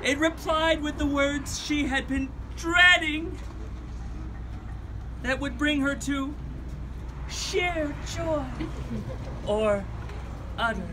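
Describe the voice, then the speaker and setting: Slow, theatrical man reading outdoors over traffic noise. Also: extremely high pitch. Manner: theatrical, deliberate phrasing, projected to a group. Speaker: storybook reader for a drama club. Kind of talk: dramatic storybook reading with joking asides. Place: outdoor patio beside a road, seated among a group. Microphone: phone camera a few metres away. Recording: noisy outdoor patio with traffic. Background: cars and street noise.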